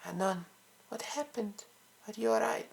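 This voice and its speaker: A woman's voice: medium pitch, lively intonation, a little slow, creaky, husky, full character voice. A woman playing a caring, bossy young friend in an audio drama, scolding yet motherly. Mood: alarmed concern.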